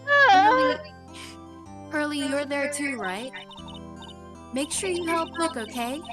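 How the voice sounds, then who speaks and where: Fairly high voice, woman acting as young woman, spirited, bossy, affectionate, game dub, music clearly behind. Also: expressive, slow pace. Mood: wistful. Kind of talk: live fan dub of a video game.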